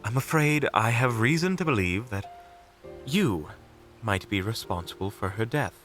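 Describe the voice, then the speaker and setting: A man's voice: fairly low pitch, animated, unhurried, a bit bright, soft background music. A man playing a polite, unflappable monster hunter in an audio roleplay, speaking in a formal, prim, theatrical manner. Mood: cool suspicion. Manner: formal, prim, wryly courteous.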